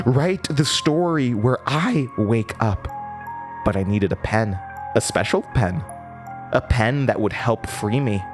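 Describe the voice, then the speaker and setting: Horror audio drama: male actor as a disturbed, haunted narrator of a dark past, slow and theatrical, music prominent underneath. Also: lowish voice. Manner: halting, theatrical, with nervous laughter and drops into whispers. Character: disturbed, haunted storyteller recounting a horrifying childhood.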